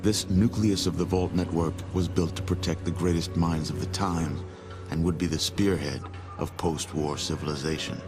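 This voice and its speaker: Man as deep, grave game narrator. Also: fairly even intonation, natural voice. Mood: grave, measured. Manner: grave, measured, storytelling. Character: solemn, grave male chronicler of a post-apocalyptic world. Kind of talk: scripted game cutscene narration. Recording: loud music behind the voice. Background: loud music.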